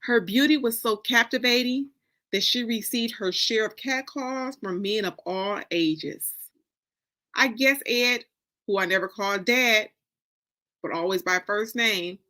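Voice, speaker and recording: Bright-voiced American woman reading dramatically. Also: medium pitch. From Detroit, United States. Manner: deliberate, expressive, dramatic phrasing with pauses. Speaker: author reading from a novel. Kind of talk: dramatic reading of first-person narrative.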